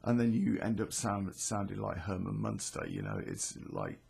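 British podcast guy, croaky and gravelly, kinda husky, rambles and swears. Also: on the low side, very animated, moderate pace, a little mellow. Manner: rambling, self-deprecating, swears freely. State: hoarse from illness. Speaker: podcast host and storyteller. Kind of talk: casual chatty intro to a podcast.

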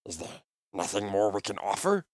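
A man's voice: on the low side, sing-song, moderate pace, no room sound, clean studio sound. A man voicing a sly, mocking little goblin with oily, fawning, questioning speech in a fantasy audiobook. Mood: oily, probing. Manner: obsequious flowery speech, all in questions.

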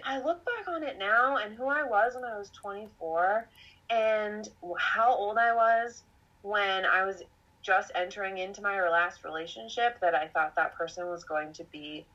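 A woman's voice: medium pitch, moderate pace, pushed and bright. Podcast host, woman, late twenties, rambly confessional chat on a slightly dull lo-fi track. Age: 20s. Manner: rambling, self-reflective, laughs often. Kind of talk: casual conversational storytelling.

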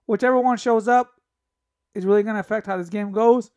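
A man hosting a solo sports podcast, talking casually and giving opinions, his voice raspy from a cough. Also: high-pitched, steady tone, brisk, darkish tone. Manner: casual, opinionated, thinking aloud with fillers. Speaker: podcast host.

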